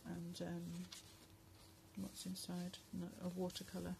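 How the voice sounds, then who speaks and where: A woman talks casually while showing a handmade journal. She speaks softly, with many pauses and fillers, and her voice is going hoarse. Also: lowish voice, monotone, background noise. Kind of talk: casual craft flip-through narration. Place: indoors at a table at home. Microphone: phone camera above the table.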